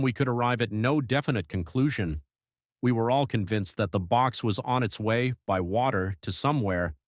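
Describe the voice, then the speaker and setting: A grave, earnest diarist played by a man recounts his account in an audiobook drama. His voice is on the low side, measured and formal, recorded very dry. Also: no room sound, close to an everyday voice. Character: earnest, grave male diarist hunting a vampire. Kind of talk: dramatic audiobook narration of a diary.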